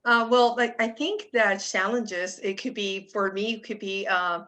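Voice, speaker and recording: A woman's voice: medium pitch, a little slow, fairly bright tone. A woman from Puerto Rico with a Puerto Rican Spanish accent, a professor of economics speaking earnestly on a panel over a video call. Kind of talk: panel discussion.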